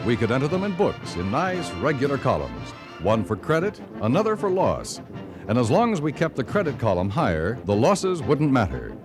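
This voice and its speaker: An American man reading scripted 1950s radio announcements with formal, deliberate enunciation. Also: medium pitch, huge pitch swings. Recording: vintage radio broadcast with music.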